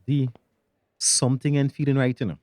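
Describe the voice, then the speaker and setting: A man's voice: mid-range voice, level tone, normal speed, darkish tone, dry recording, lightly acted. A man playing an anxious father and police officer in a radio drama, speaking Tobagonian dialect in an agitated, repetitive way. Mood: uneasy, agitated. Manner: agitated, repeats words.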